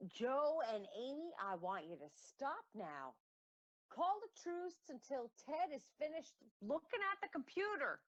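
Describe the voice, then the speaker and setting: Peacekeeping mother, measured animated delivery, firm, admonishing, dull recording, radio drama. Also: sits high. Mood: pleading. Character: Marmee, firm mother keeping peace among teenage daughters. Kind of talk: radio play acting.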